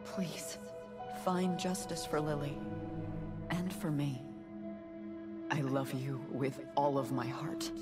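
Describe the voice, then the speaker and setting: A woman playing a loving, sorrowful wife in a dramatic video game, speaking gently and gravely. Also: low-pitched, flat delivery, over loud music. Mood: solemn, tender. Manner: tender, measured, solemn.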